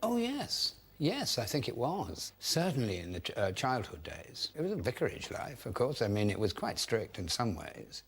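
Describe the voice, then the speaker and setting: A man's voice: mid-range voice, big pitch swings, moderate pace, hardly any pauses, husky. An older man, reminiscing in an interview.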